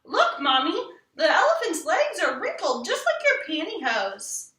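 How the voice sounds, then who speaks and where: A woman's voice: very high-pitched, wildly swooping pitch, unhurried, sharp tone, exaggerated voice. A woman voicing eager, chirpy children from television commercials, with cutesy, sing-song exaggeration. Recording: roomy echo, distant from the mic.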